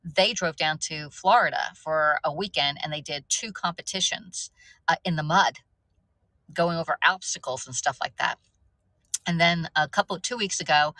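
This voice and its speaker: Chatty woman in her fifties, bright. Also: deep voice, dry recording, low-quality recording. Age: 50s. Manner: rambling, chatty, talks to viewers by name. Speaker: livestream host. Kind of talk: casual livestream chat.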